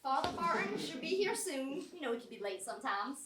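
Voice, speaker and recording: A woman playing a kindly, prim Southern country woman in mourning, folksy and gently scolding, in a live amateur stage comedy. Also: high voice, sing-song, fairly quick, background noise. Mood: mildly scolding. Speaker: actor in a staged reading of a short play. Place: small college theatre stage. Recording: roomy theatre, mic at a distance.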